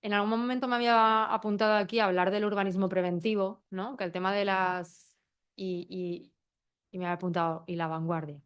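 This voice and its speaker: A woman, speaking as a panelist in a round-table discussion and explaining her points with quick asides. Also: medium pitch, clean tone. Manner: animated, explanatory, quick asides and brief laughs.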